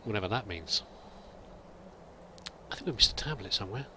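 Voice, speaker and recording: Man, bright clear tone, thinking aloud hesitantly, in-character game commentary, faint hum behind. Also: fairly low pitch, animated, brisk. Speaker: let's play roleplayer.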